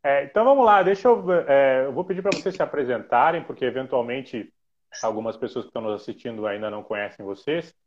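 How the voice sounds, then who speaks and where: A man hosts a casual podcast, joking with his guests in a relaxed way. His voice has an ordinary middle pitch, and the recording sounds a little dull and muffled. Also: fast, a little hiss or hum. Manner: relaxed, teasing, many fillers. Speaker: podcast host.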